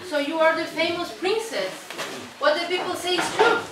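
A woman's voice: extremely high pitch, normal speed. A young woman playing a devoted, lovestruck emperor in a scripted puppet show. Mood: adoring. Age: young adult. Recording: echoey noisy room from far.

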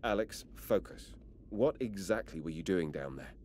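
A calm doctor in a horror game, with a deep, dark voice and lively intonation. He guides his patient in a measured, soothing way. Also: a little hiss or hum. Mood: calm, probing.

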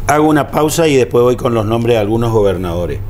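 Middle-aged male host, mid pitch, short agreeable questions, lapel mic. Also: lively intonation, fast, a little mellow, a little hiss or hum. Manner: brief prompts, agreeable. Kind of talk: interview questions.

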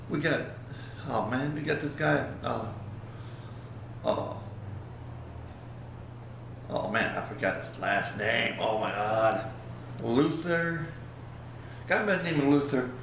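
A man in his fifties rambles through a casual product review vlog in an unhurried, folksy way, his voice sitting a touch high for a man. It was picked up on a close webcam in a small, very echoey room, with a faint hiss underneath. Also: creaky, a bit bright. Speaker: vlogger. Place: small indoor room by a plain wall. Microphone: webcam at close range.

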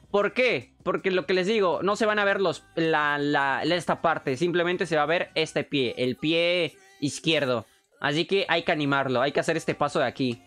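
Excitable young man, Mexican accent. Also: on the high side. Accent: Mexican Spanish. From Peru. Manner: joking, excitable, slangy and profane. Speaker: streamer and animator. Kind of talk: casual live stream chat.

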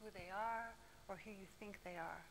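A woman's voice: medium pitch, normal speed, slight background noise. A woman researcher standing in a lecture room, answering audience questions at a seminar in an earnest, explanatory way. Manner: earnest, explanatory, with light laughs. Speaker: presenting researcher.

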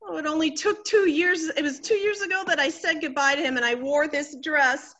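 A woman's voice: high-pitched, a little fast, a bit bright. A woman playing a vain, anxious middle-aged wife in a stage comedy, melodramatic and fluttery. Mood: distraught, wailing. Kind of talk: theatrical stage comedy acting.

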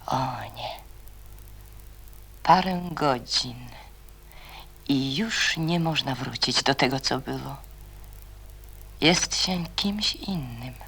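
A performer narrating a radio play as a melancholic storyteller, with a breathy, often half-whispered delivery. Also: mid-range voice, animated, sharp tone, exaggerated voice. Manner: slow, brooding, melancholic. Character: brooding, melancholic storyteller voicing characters' thoughts.

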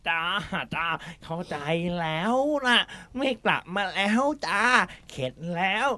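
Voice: mid-range voice, a little slow, pushed and bright, no room sound. A performer voicing a boastful, gullible bully snake with exaggerated delivery in a children's animal fable. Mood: defeated, pleading. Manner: exaggerated, threatening then whining.

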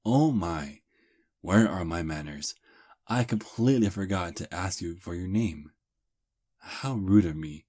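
Man acting a deep, husky, seductive vampire prince. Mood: courteous, charming. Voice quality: husky, breathy. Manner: slow, courtly, softly seductive. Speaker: ASMR roleplay performer. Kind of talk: intimate ASMR roleplay acting.

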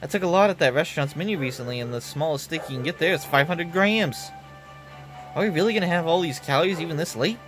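A man's voice: a little high, fast talker, a bit bright, soft background music, close to an everyday voice. A man voicing a shy, gloomy young shut-in princess in a game, self-pitying and muttering asides. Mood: fretful.

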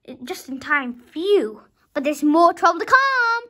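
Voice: extremely high pitch, sing-song, unhurried, sharp tone. A young child of about five reading a storybook aloud dramatically, sometimes stumbling over words and rereading them.

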